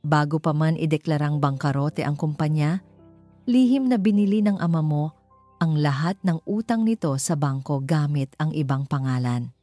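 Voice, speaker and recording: A woman voicing a sad, loyal old accountant in an audio story, grave, gentle and confiding. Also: low voice, moderate pace, dry recording, faint music behind, close to an everyday voice. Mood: grave, revealing.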